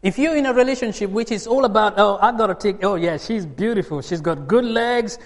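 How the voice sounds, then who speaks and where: A man in his forties from Uganda, preaching a sermon in a lively way with emphatic bursts and laughs. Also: sits high, fairly quick. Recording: long hall reverb.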